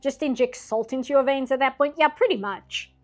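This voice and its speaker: A chatty woman from Britain talks while she draws, wandering from topic to topic and laughing often. Her voice sits a little high and is turning slightly hoarse late at night. Faint music plays quietly behind her. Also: brisk. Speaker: streamer drawing on stream. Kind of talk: casual chat while drawing.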